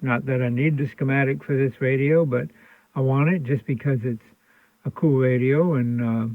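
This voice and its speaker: A man's voice: mid-range voice, no echo. A man casually explaining a repair at his workbench, rambling with frequent ums and restarts. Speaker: radio restoration hobbyist host. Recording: tinny phone-like sound.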